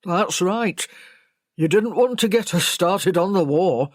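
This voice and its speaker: A woman's voice: on the low side, lively intonation, takes it slowly, darkish tone, dry recording, studio quality. A woman voicing a plain-spoken, frank male country servant in an audiobook. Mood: matter-of-fact. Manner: folksy, blunt.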